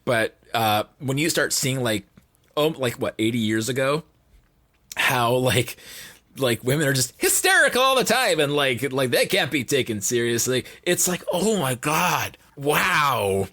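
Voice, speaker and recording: A man's voice: mid-range voice, expressive, a little slow, sharp tone. A man chatting casually as a podcast guest, rambling and saying "like" a lot. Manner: rambling, peppers speech with "like". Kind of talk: casual chat.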